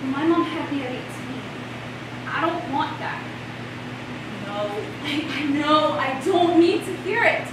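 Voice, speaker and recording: A woman plays a frightened but defiant teenage girl in a stage drama. The voice is high, heard from a distance with heavy echo and clear noise around it. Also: takes it slowly. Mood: frightened, defensive. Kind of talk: live one-act stage monologue. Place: festival stage before a seated audience. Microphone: far camera. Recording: very echoey, noisy stage, distant mic.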